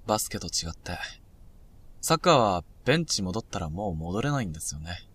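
An actor playing a cool, provocative high school boy and romantic rival in an audio drama, taunting and smooth-tongued. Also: very animated, a little fast, a bit bright, heavily acted. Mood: casual, probing. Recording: music under the dialogue. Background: music.

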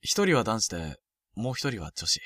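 Man playing a frank, expressive high school boy. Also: normal speed, dry recording, exaggerated voice. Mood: casual, reflective. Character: frank, proud, sporty first-year high school boy. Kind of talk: Japanese youth romantic comedy voice drama.

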